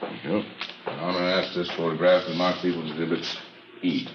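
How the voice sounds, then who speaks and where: An actor playing a courteous, methodical prosecutor in an old radio courtroom drama, asking questions in a formal, deliberate manner. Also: male voice, sits low, level tone. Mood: formal, businesslike. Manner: formal, deliberate questioning with throat-clearing and hesitations. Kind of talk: 1950s radio drama acting. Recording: muffled, noisy old radio recording.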